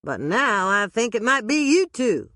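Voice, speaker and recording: A woman voicing a man doing a bad Southern Elvis impression, loud and drawling in a put-on Southern US accent. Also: medium pitch, sing-song, unhurried, no room sound, clean studio sound, voice pushed up, exaggerated voice. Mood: boisterous.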